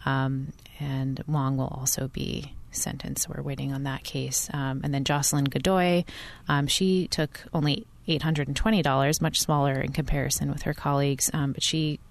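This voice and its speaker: A woman reports the news as an interview guest. Her voice sits very low for a woman. She explains in a conversational way, pausing often to hesitate. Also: flat delivery, gravelly.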